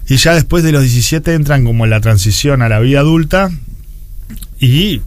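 A man's voice: medium pitch, animated, normal speed, dry recording. Uruguayan guy in his 40s explaining stuff in an interview, repeats words while he thinks, normal midrange voice. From Montevideo, Uruguay. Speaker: interview guest, education official.

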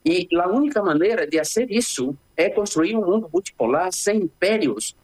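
A husky-voiced man with a Brazilian Portuguese accent, speaking as a guest in a remote interview. He talks with conviction but stumbles often, restarting words and filling gaps with "eh". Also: high voice, lively intonation, poor audio. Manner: impassioned, halting with restarts and fillers.

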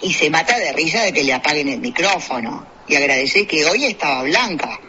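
A man's voice: a little high, animated, fairly quick, pushed and bright, lo-fi sound, a little hiss or hum. A man with a Uruguayan Spanish accent chatting casually on a radio show, rambling with many fillers and restarts. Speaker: radio co-host. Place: radio studio.

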